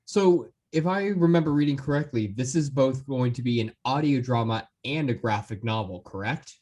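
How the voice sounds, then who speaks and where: A man's voice: medium pitch, moderate pace, darkish tone. A man hosting a podcast, asking questions in an informal, curious and casual way. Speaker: podcast host.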